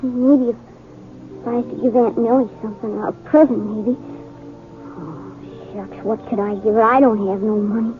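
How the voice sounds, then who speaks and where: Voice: mid-range voice, fairly even intonation, moderate pace, long pauses, a little hiss or hum, lightly acted. A performer playing an earnest, shy young farm boy with a rural American accent in a Western radio drama. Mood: downcast, shy. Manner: plain-spoken, hesitant. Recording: muffled 1950s radio recording, faint music.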